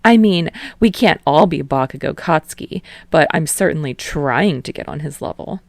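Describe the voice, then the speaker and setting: A cheerful young actor, eager and friendly with a teasing edge, as a woman reader gives him lines. The voice sits close to her own, a little lifted, with some gravel at its base and no room sound. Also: deep voice, expressive, normal speed, no echo. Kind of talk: fan fiction audiobook reading.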